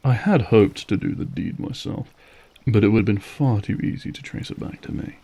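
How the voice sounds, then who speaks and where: Here is a suave vampire lord, acted by a man, with a dark, mellow voice that creaks at the edges. He toys with his captive in formal, mocking phrases, drawing out every threat with silky menace in a scripted audio drama. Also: on the low side, expressive, normal speed. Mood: gloating, taunting. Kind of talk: scripted audio roleplay acting.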